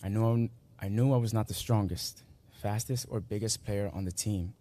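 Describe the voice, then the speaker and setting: A young man in his late teens, a native Spanish speaker from Guatemala, reading his personal essay aloud in earnest, measured short phrases at a school graduation ceremony. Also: on the low side, fairly even intonation, warm tone.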